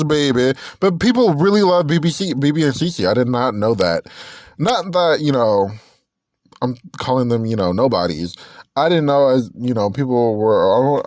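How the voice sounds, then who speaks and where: Podcast host, man, 20s: rambling, self-correcting chatter with a lively, swinging pitch, recorded dry. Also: moderate pace, no room sound. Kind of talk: casual solo podcast chat.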